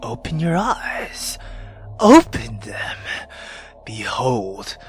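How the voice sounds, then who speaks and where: A man voicing a menacing, contemptuous monster from a horror visual novel, in a hushed, breathy, theatrical way. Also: mid-range voice, huge pitch swings, very slow, faint music behind, voice pushed down, exaggerated voice. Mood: menacing, scornful. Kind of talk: dramatic visual novel reading.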